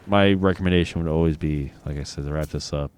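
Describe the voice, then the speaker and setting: Deep-voiced Midwestern man rambling. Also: fast, a little mellow, a little hiss or hum. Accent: Midwestern American. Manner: rambling and reflective, swearing casually. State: a bit drunk. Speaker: gaming podcast host. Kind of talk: casual gaming chat.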